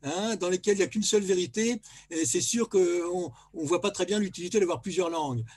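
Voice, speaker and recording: A man's voice: high voice, brisk, darkish tone. A man speaking at a colloquium panel, emphatic, repeating himself and tagging his points with "hein". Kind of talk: panel discussion remarks.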